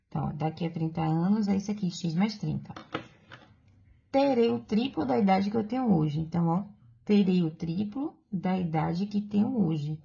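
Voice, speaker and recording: A woman's voice: on the low side, vocal fry, warm tone, breathy edge, lo-fi sound. A woman with a Brazilian Portuguese accent, teaching math step by step for exam prep and patiently checking in with the viewer.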